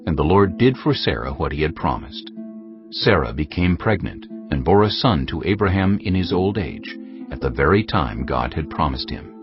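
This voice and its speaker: Very deep, expressive male scripture narrator. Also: moderate pace. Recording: dull audio under clear music.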